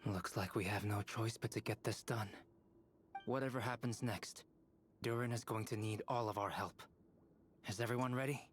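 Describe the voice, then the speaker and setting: Man voicing a young game hero, lowish voice, faint noise behind. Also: normal speed, close to an everyday voice. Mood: determined.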